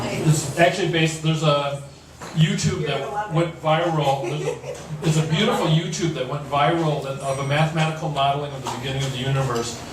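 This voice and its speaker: A man, a poet and writers' association chair, introduces his reading in a restaurant cafe, talking haltingly with many fillers. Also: fairly high pitch. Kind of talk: introduction before a poetry reading. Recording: echoey room from far off with background noise.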